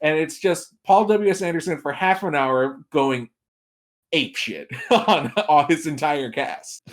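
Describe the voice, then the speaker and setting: A man with a somewhat high voice chats as a guest film critic on a podcast. He talks casually and tells things like a storyteller, slipping into little imagined reactions to make his point. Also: unhurried. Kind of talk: casual chat, giving film opinions.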